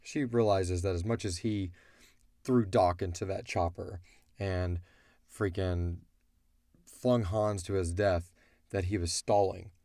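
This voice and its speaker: Man, deep voice, mellow tone, casual rambling story discussion, colloquial phrasing. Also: fairly even intonation, takes it slowly, pauses often, no echo, studio quality. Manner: rambling, colloquial, often voicing characters' thoughts. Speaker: audio drama creator answering listener questions.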